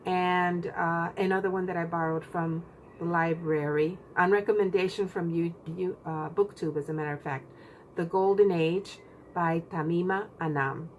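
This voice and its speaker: A woman hosting a book channel, chatting casually with many pauses and fillers. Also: fairly low pitch, steady tone, slight background noise. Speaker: BookTube channel host. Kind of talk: casual book tag vlog.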